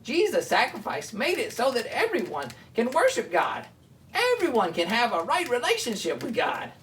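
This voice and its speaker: A woman hosting a children's Bible show, explaining things simply and chatting along in an animated way. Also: on the high side, moderate pace, distant mic, slight background noise.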